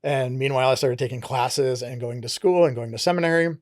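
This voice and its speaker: A man with a Connecticut accent talks at an average pitch. He is a pastor telling his own story in an earnest, reflective way. His voice is recorded close and dry, with little room sound. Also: fairly even intonation, a little fast, darkish tone, dry recording. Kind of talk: casual interview talk.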